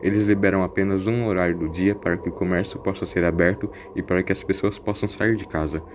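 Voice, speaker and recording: A man's voice: deep voice, fairly even intonation, fast, dull audio, noisy background, close to an everyday voice. A man voicing a sarcastic, embittered and preachy wanderer in an audio story. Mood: indignant.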